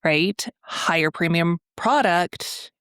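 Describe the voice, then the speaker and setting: Woman, interview host, affirming, prompting questions, lowish pitch, dry close sound. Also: slow, fairly bright tone, clear, resonant tone, no room sound. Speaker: podcast host. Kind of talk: conversational interview.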